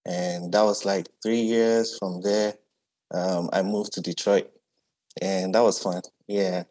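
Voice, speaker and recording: Man, deep and relaxed, for a conversational artist interview. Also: slow. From Ghana. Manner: easygoing, reflective, often adds "yeah". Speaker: artist guest. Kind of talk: casual conversation.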